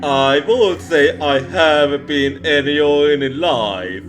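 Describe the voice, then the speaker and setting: A man voicing a friendly but eerie ghost companion in a horror roleplay, with slow, drawn-out, deliberate speech. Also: a little high, a bit bright, soft background music.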